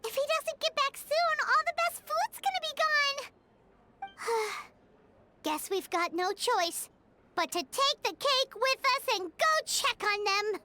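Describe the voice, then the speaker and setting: A woman voicing a tiny, excitable, greedy female fairy companion in a video game, with a squeaky childlike delivery. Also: slow, heavily acted. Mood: eager, curious. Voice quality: squeaky, bright. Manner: childlike, refers to self in third person. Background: music.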